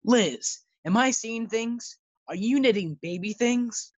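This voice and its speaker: A woman's voice: on the high side, expressive, takes it slowly, no room sound. A woman acting a breezy, girl-chasing bachelor man in a 1940s-style radio sitcom. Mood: astonished. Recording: slightly dull, lo-fi sound.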